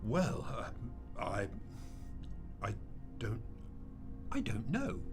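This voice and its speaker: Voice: medium pitch, huge pitch swings, very slow, creaky, faint music behind, exaggerated voice. An actor in a radio drama playing a bewildered, defensive dead businessman who speaks in a hesitant, plaintive way. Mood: baffled, plaintive.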